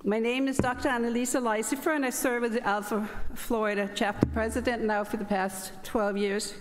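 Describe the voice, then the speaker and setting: A woman's voice: a little high, steady tone, a little fast, noisy background. A middle-aged woman from Denmark with a Danish accent, hosting a formal ceremony with chatty asides. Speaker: ceremony host, chapter president. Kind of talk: formal welcome speech. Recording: reverberant hall.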